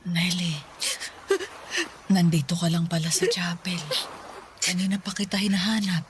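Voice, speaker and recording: A concerned older Filipino mother, acted by a woman in a radio drama. Her voice is on the low side, with faint ambience behind it. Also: steady tone, normal speed, slight background noise, close to an everyday voice.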